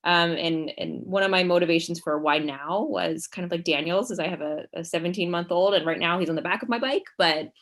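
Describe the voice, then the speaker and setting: A woman's voice: lowish voice, a little fast, hardly any pauses, a bit bright. A woman, a university researcher, introducing herself on a committee video call in a friendly, earnest way.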